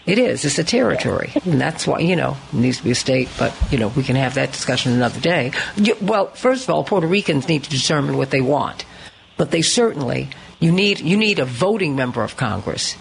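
Female panel host, gravelly voice, emphatic and repetitive delivery, some background noise. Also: very deep voice, animated, fairly quick, poor audio. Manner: emphatic, repeats phrases for emphasis. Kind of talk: spontaneous panel discussion.

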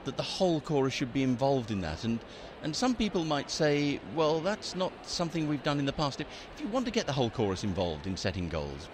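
A male radio presenter with a lively voice that moves a lot in pitch. He introduces segments and asks questions with enthusiasm, while traffic noise is clearly heard behind him. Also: brisk, hardly any pauses. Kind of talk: radio magazine interview.

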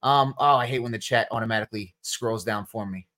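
A man's voice: on the low side, a little fast, bright, forceful tone. Casual New Jersey man answering chat questions. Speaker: podcast host.

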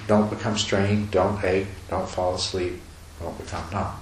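A man with a lowish voice gives a dharma talk at a retreat, talking in loose, rambling sentences full of restarts and soft chuckles. His voice carries in an echoey room over a faint hiss. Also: flat delivery, unhurried. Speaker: meditation teacher. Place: retreat meditation hall.

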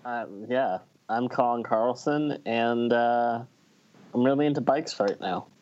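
A man's voice: medium pitch, unhurried, darkish tone, dull audio. A man co-hosting a podcast in casual chat, with a dry, blunt, joking way of talking. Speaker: podcast co-host.

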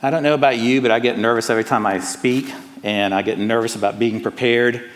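A middle-aged man from Cuba, raised in the American South, with a Southern accent, preaching an earnest and personal sermon, often pausing on "uh". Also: medium pitch, steady tone, long reverb tail. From Cuba, raised in Atlanta. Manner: earnest, personal, with frequent "uh" fillers. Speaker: pastor. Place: church sanctuary.

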